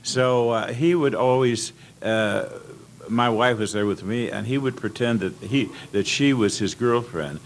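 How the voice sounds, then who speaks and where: An older American man telling anecdotes as a guest on an informal panel, halting and rambling as he reminisces. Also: medium pitch. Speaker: panel guest at old-time radio convention. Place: indoor convention panel room. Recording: clearly noisy convention room recording.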